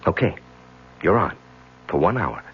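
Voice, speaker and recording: A man's voice: deep voice, sing-song, unhurried. An actor playing a haunted, uneasy husband who narrates his own story in an intimate radio drama style. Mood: amiable. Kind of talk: old-time radio drama acting, intimate first-person narration. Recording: muffled radio drama recording with music.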